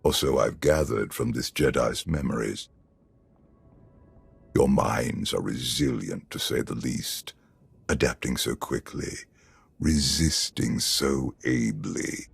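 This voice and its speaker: An actor playing an imperious, ancient evil emperor in a video game, measured, pompous and detached, with a gravelly, husky voice. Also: male voice, extremely low pitch, dry recording, soft background music, full character voice. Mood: cool curiosity. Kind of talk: dramatic video game acting.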